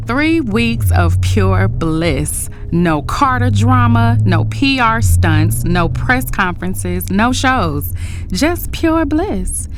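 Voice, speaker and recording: A woman's voice: medium pitch, animated, takes it slowly. Breezy runaway bride over music, acted by a woman. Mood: breezy, blissful. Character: carefree runaway bride, billionaire's daughter, young and breezy. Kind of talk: first-person audio drama narration and dialogue.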